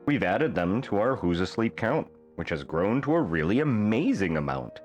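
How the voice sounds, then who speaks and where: A man reading a rhyming children's bedtime story aloud in a playful, theatrical manner with deliberate phrasing. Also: on the low side, sing-song, faint music behind. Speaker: storybook reader.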